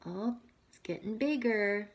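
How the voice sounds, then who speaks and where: A woman teacher reading a picture book aloud to young children, expressively, with breathy asides and questions to her listeners. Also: medium pitch, unhurried.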